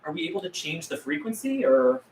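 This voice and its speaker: A woman's voice: lowish voice, lively intonation, brisk, darkish tone. A woman playing a wary, blunt survivor who questions and negotiates, in a film script table read. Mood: wary, determined. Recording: roomy, echoey with room noise.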